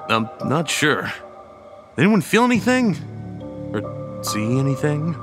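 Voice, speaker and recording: Animated, higher-voiced skeptical young guy. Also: takes it slowly, long reverb tail, over music. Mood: doubtful. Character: skeptical, irritable young man in a seance group. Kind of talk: audio horror drama acting.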